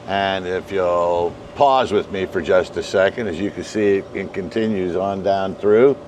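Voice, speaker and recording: Male host, 60s, slow deliberate tutorial delivery, outdoor recording with some background noise. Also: low voice, a little mellow. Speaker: host, ham radio hobbyist. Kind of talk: casual step-by-step tutorial. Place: outdoors, residential backyard and covered patio.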